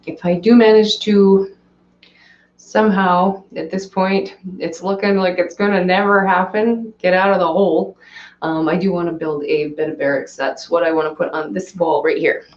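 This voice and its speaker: Woman, hoarse live stream host, chatty and off the cuff, echoey dull home recording. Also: medium pitch, a little mellow. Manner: chatty, rambling, reads and answers viewer comments. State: hoarse, voice cracking. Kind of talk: casual live stream chat.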